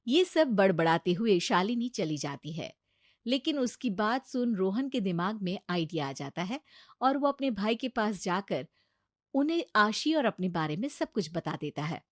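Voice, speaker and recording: A woman's voice: mid-range voice, big pitch swings, normal speed, dry recording, clean studio sound. A woman reading a scripted family story as its storyteller.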